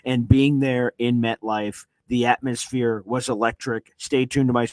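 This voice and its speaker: A man whose voice is nearly gone from screaming hosts a live sports reaction stream from a hotel room. He talks in an excited, rambling way, full of "uh"s and restarts. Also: mid-range voice, a little slow, no echo, poor audio. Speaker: sports reporter and livestream host. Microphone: streaming setup on weak hotel wifi.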